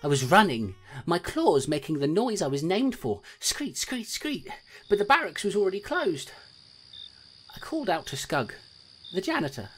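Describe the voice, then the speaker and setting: Audio drama lead: streetwise clawed creature detective, low register, swooping intonation, terse and self-deprecating. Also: female voice, very animated, normal speed, husky. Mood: tense, regretful. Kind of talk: dramatic first-person storytelling in an audio drama.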